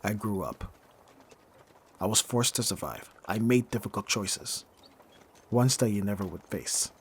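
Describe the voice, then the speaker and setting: A man playing a bitter, hardened young thief in an ASMR roleplay, speaking with mockingly courteous, formal phrasing and pointed pauses. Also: on the low side, a little hiss or hum. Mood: controlled, sardonic. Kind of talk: scripted ASMR roleplay acting.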